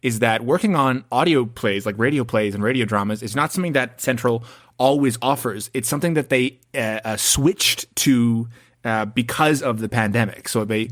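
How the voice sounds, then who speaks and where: A young adult man co-hosting a casual interview podcast, joking and filling pauses with "uh". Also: medium pitch. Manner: joking, with many "uh" fillers. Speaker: podcast co-host.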